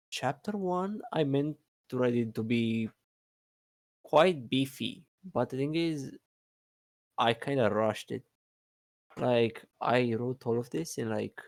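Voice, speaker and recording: A man who is not a native English speaker, chatting casually in a halting way with repeated words and many fillers. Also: mid-range voice, gravelly, no room sound. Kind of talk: casual hesitant chat.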